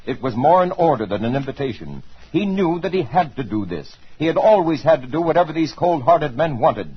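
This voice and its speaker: Male narrator for 1940s radio drama, mid-pitched, husky, very expressive, ominous, dull vintage recording. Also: brisk.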